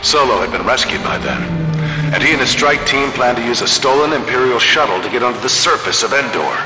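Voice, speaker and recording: An actor playing a battle-weary rebel squadron veteran who recounts his war in grave, measured narration for a game. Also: medium pitch, lively intonation, lightly acted. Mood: determined. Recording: echoing hall reverb, loud music and battle sounds.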